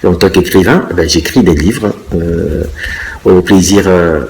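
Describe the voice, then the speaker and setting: Low-voiced man in his fifties. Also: a little mellow, slight background noise. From Congo. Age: 50s. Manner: thoughtful, pausing often to search for words. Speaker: interviewee, dancer and choreographer. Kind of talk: casual interview answers.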